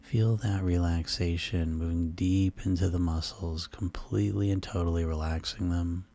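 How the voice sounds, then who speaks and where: Male hypnosis guide: very low, dark and relaxed tone, soothing and hushed, gently instructing a listener toward sleep. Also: normal speed.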